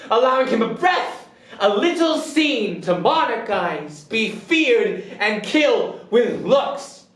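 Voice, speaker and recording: A man performing a deposed, bitterly grand king in a theatrical Shakespearean verse monologue, declamatory and drawn-out, swinging between hushed and ringing. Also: sits high, voice pushed up, heavily acted. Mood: bitter mockery. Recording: roomy echo, distant microphone.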